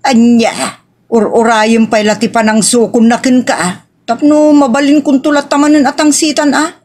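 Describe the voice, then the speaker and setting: A woman's voice: fairly high pitch, fairly even intonation, moderate pace, a little mellow. An older mother played by a woman speaks. She probes and admonishes her grown daughter in family radio-drama style. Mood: probing.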